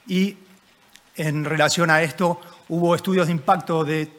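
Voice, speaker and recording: A male journalist with a Buenos Aires Rioplatense accent asks a question at a press conference. His voice sits a little high, and his phrasing is formal and deliberate. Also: steady tone, a bit bright. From Buenos Aires, Argentina.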